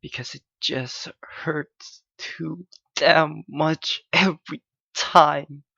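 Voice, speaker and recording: A man playing a clingy, heartbroken young yandere lover in an intimate ASMR roleplay, halting and pleading in his anguish. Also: fairly high pitch, pushed and bright, slightly rough, no echo, highs cut off, heavily acted.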